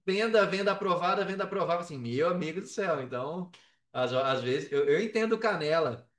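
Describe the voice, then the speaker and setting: A man with a Brazilian accent in casual podcast chat, talking in a slangy, animated storytelling way. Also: a little high, a little fast. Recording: roomy echo, distant from mic.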